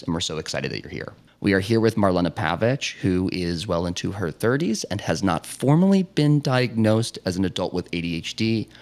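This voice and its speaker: A man in his thirties hosting a podcast, reading a welcoming intro and asking gentle questions. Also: deep voice. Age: 30s. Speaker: podcast host. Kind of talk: scripted podcast intro and interviewing.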